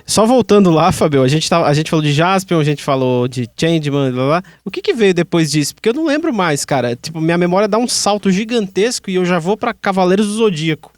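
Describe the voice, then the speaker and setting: Higher-pitched Brazilian man, casual chat. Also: animated, fast, few pauses, no echo. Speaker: podcast participant. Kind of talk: casual pop-culture chat.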